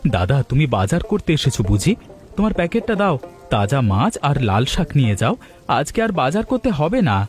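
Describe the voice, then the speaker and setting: Man acting meek village husband, cartoon, highish voice, animated intonation, doubtful. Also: brisk, faint music behind. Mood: earnest. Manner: doubtful, mildly chiding. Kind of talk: Bengali village cartoon dubbing.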